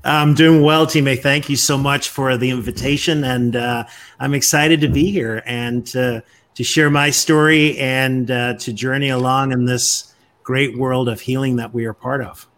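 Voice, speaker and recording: A man's voice: medium pitch, steady tone, a bit bright. Man in his fifties. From Northern Ireland. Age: 50s. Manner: measured, frequent "uh" pauses. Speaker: podcast guest. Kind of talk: storytelling interview.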